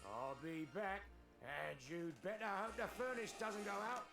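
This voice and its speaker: Blunt, high-pitched blacksmith, roomy. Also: male voice, lively intonation, a little slow, creaky, music in the background, exaggerated voice. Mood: angry, scornful. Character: gruff male blacksmiths, from lazy youth to irate boss. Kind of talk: audio drama and game voice acting.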